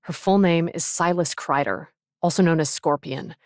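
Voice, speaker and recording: A woman's voice: deep voice, normal speed, a little mellow, no room sound, studio quality, natural voice. A woman voicing a cool, self-assured, tough female fighter in an anime video game, composed and matter-of-fact.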